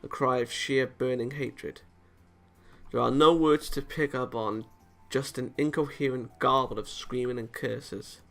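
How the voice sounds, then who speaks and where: A man's voice: medium pitch, steady tone, a little slow, a bit bright, soft background music. A man reading a visual novel aloud dramatically with off-the-cuff reactions, sometimes tripping over words and rereading them.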